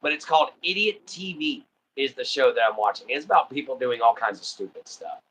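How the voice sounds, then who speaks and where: A man's voice: mid-range voice, animated, brisk, a bit bright, rough recording. A man with a Texan accent from Southeast Texas, hosting a livestream in easygoing, rambling casual chat full of ums and uhs. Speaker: livestream host.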